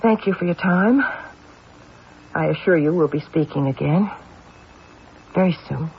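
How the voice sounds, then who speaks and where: Businesslike detective, high male voice, pointed questioning, radio mystery, slightly noisy vintage sound. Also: a little slow, creaky. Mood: probing, cool. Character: probing, businesslike, skeptical male police detective. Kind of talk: old-time radio drama acting. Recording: muffled old radio recording, faint noise.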